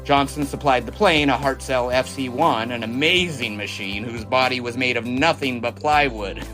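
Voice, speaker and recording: An actor playing a confident, folksy 1920s American test pilot in an audio drama, jaunty and boastful, with old-fashioned wordy phrasing. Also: male voice, medium pitch, moderate pace, music in the background, lightly acted. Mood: confident, reminiscing. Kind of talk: audio drama dialogue and first-person storytelling.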